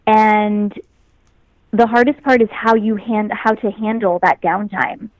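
A young adult woman with a high voice, an actress chatting as a guest in an interview, upbeat and quick to laugh. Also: steady tone, no room sound, lo-fi sound. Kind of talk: casual interview chat.

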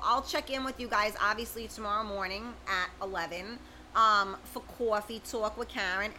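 A woman's voice: mid-range voice, pushed and bright, a little hiss or hum, poor audio. A middle-aged woman, hosting a casual livestream, reading viewer comments and chatting with them by name. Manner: chatty, informal, talks straight to viewers by name. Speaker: livestream host.

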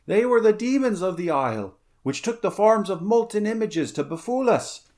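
A shaken pirate of a superstitious bent, voiced by a male reader. He talks urgently in a high, raised voice, defending his courage as in an old adventure tale. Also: very animated, normal speed, darkish tone.

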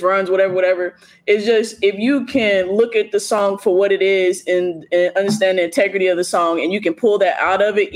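A man with a notably high speaking voice, co-hosting a casual chat show. He asks his questions haltingly and keeps restarting as he searches for the right words. His tone is informal and conversational. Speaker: show co-host.

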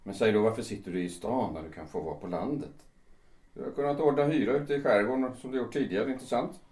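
A man acting in a radio drama as a practical, sociable lawyer in his fifties, conversational and gently prodding with questions. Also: medium pitch, natural voice. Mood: questioning. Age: 50s. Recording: roomy echo.

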